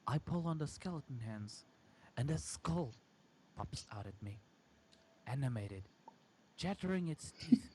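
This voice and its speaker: A man reading a first-person horror story aloud in a halting, dramatic way. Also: medium pitch, animated, background noise. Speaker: amateur story reader.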